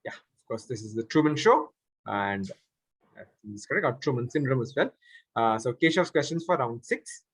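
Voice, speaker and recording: A man's voice: mid-range voice, animated, moderate pace, breathy edge, no room sound. A man hosting a quiz, reading out questions and confirming answers. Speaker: quiz master. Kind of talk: reading quiz questions aloud, with spoken asides.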